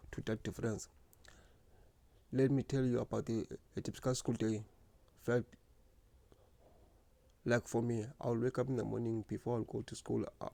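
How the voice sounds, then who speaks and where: Low, gravelly man who stutters. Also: warm tone. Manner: stutters, with repeated words and restarts; slow, earnest, reflective. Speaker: speaker sharing lived experience. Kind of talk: personal reflective monologue.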